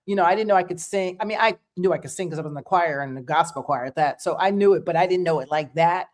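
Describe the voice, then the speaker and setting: A woman hosting a casual interview, animated and quick to laugh, encouraging her guest. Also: lowish voice. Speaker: host.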